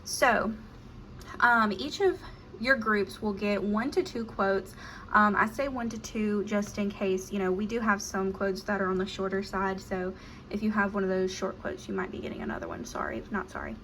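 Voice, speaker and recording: A woman's voice: mid-range voice, animated, moderate pace, a bit bright, a little hiss or hum. A woman teacher giving a practice lesson, upbeat and encouraging, with frequent ums as she explains a group activity. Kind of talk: classroom instruction, practice lesson.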